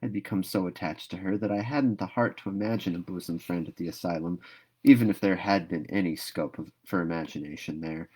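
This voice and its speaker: A dreamy young orphan girl from a storybook, voiced by a man in his low register. The delivery is gushing and wistful, full of romantic drama, as if every imagined thing were precious. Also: a little fast, dark tone, slightly rough. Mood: wistful, sad. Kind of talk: storybook read-aloud.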